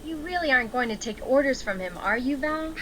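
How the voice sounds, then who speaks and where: A woman's voice: high voice, expressive, a little fast, slight background noise. A woman acting a bold, short-tempered female gangster with a defiant manner. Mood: protesting. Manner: sharp, defiant. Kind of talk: script table read.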